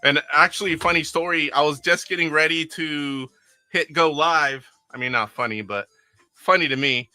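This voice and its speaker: A man's voice: on the high side, normal speed, pushed and bright, no echo, soft background music. A middle-aged man hosting a casual live stream from his attic game room, rambling and chuckling as he plays and reads viewer comments aloud. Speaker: retro game streamer host.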